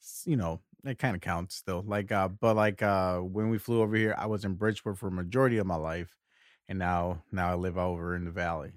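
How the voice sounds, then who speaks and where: A man's voice: sits low, fairly even intonation, fairly quick, no room sound, studio quality. A man in his thirties co-hosting a podcast, speaking in an easygoing, hesitant way full of "like"s. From Puerto Rico, raised in Connecticut. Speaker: podcast co-host. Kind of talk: casual chat.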